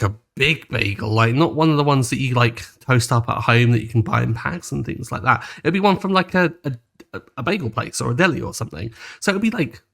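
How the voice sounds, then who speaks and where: A man's voice: mid-range voice, creaky, fairly bright tone. A British man co-hosting a podcast, chatting casually and teasing his co-host with jokes and enthusiasm. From United Kingdom. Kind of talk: casual chat.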